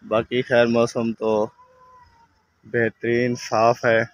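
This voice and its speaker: A man's voice: mid-range voice, monotone, slow, highs cut off. A man with a Pakistani accent narrating and chatting casually as host of a family vlog. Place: outdoors in a village yard. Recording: outdoor phone recording with clear background noise.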